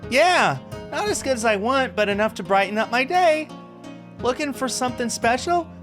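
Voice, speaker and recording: A man voicing a cheerful, flirty female peddler from a visual novel, chatty and theatrical with a gushing, excited delivery. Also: extremely high pitch, normal speed, over music, voice pushed up. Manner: gushing, teasing, excited sing-song delivery. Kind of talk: visual novel character read-aloud.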